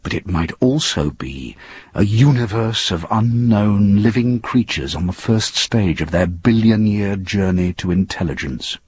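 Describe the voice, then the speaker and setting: Husky, low-voiced male story narrator. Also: animated, a little slow, a little mellow. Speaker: audiobook narrator. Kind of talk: dramatic scripted story narration.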